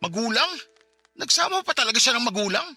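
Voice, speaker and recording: A man's voice: extremely high pitch, sing-song, quick pace, sharp tone, faint music behind, full character voice. A man playing a fiery, loyal Filipino friend. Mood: outraged. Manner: flares into angry outbursts. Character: loyal male friend and cousin, bank security guard, hot-tempered about injustice. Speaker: voice actor in radio drama. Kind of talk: Tagalog radio drama acting.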